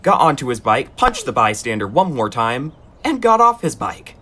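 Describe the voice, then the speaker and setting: A man narrating in a gentle, expressive children's storybook style, with dry asides. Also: medium pitch, normal speed, breathy edge.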